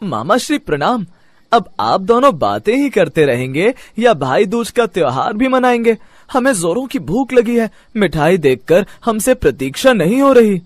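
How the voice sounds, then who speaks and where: An excitable little boy god , put on by a man, cheeky and eager for food. The voice is lifted high and swings about playfully in a mythological children's cartoon. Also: normal speed, no echo. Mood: impatient, playful.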